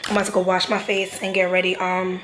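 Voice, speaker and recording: A woman's voice: fairly low pitch, completely flat delivery, fast talker, fairly bright tone. A young woman, vlogging casually to camera at home, rambling with slang and fillers. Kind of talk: casual vlog chat to camera. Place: home while traveling. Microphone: phone or camera mic with ring light. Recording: noisy ambient background.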